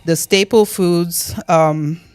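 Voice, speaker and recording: A woman's voice: fairly low pitch, steady tone, takes it slowly, darkish tone, clean tone, dry recording, clean studio sound. A woman from Cameroon, a podcast guest, talking easily with frequent fillers. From Cameroon, Northwest region. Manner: easygoing, laughs often, hesitant fillers. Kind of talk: casual interview chat.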